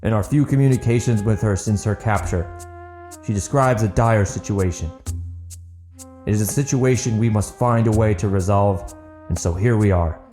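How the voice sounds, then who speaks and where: Man as a grave, bright-voiced stern leader. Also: fairly low pitch, normal speed, soft background music, close to an everyday voice. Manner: grave, formal. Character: stern, authoritative male leader of a gathering.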